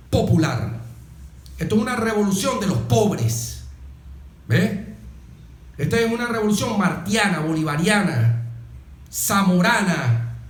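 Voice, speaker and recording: High-voiced Venezuelan man in an echoey room. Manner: didactic, emphatic, repetitive, with rhetorical questions and check-in tags. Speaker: interviewed guest. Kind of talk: political commentary in an interview.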